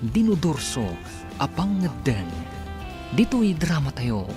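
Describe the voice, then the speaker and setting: A man's voice: fairly high pitch, sing-song, takes it slowly. A man narrating and announcing a radio drama in a dramatic, scripted style. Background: music.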